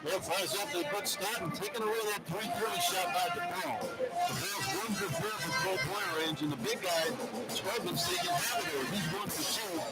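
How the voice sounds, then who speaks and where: Male color analyst, live basketball radio, high voice, plainspoken delivery, strong music bed. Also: very animated, normal speed, poor audio.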